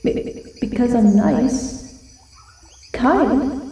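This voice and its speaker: A woman playing a proud, feral but soft-hearted giant wolf girl in an ASMR roleplay, with breathy, dramatic pauses. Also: fairly high pitch, full character voice. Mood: sheepish, uneasy. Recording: very echoey, distant from the mic.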